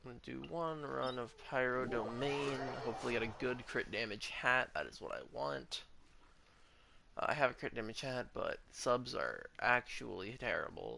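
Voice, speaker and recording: A man's voice: medium pitch, creaky, sharp tone, a little hiss or hum. A young man doing casual live gaming commentary, slangy and offhand, sometimes muttering. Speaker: video game streamer. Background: game music.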